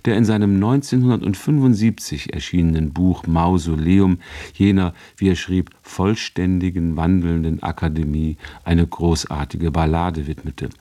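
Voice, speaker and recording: A male radio presenter reads a prepared introduction. His voice sits low, with a dark, relaxed colour. The delivery is that of scripted public radio narration. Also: moderate pace.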